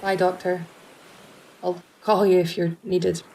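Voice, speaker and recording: A woman acting in a fan audio drama as a brisk, protective, matter-of-fact member of a military unit, with some background noise. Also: lowish voice, little pitch movement, darkish tone, low-quality recording. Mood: firm, businesslike.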